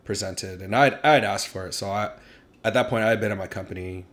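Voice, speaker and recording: A young man chatting casually as a podcast guest, relaxed and halting, with many fillers and restarts. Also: fairly low pitch. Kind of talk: casual chat.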